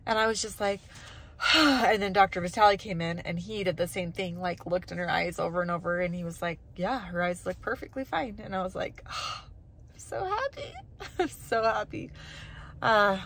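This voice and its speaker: A woman in her thirties chatting casually to her phone camera from a parked car, acting out what others said with lively voices. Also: medium pitch, moderate pace. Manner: chatty, acts out quoted voices animatedly. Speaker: family vlogger. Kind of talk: casual vlog talk to camera. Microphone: phone camera held close.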